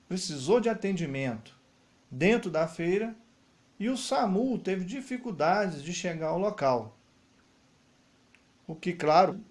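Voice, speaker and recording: A man giving news commentary, explaining as he points through web pages. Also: sits high. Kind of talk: screen-recorded news commentary. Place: voice-over over screen recording.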